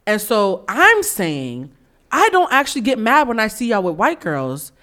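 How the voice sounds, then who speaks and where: A woman's voice: medium pitch, huge pitch swings, unhurried, sharp tone. A woman in her forties from the East Coast of the United States, hosting a candid podcast conversation and telling stories in an emphatic, opinionated way. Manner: emphatic, opinionated storytelling with acted-out quotes. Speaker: podcast host.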